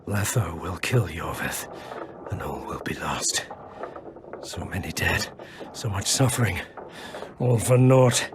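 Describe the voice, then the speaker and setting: An actor playing a badly wounded, proud and bitter elf freedom fighter in a video game, weak with pain, halting, breathy and often near a whisper. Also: male voice, low-pitched, background noise. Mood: bitter, despairing. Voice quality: gravelly, breathy, falling into whispers. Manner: halting, defiant. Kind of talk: video game cutscene acting.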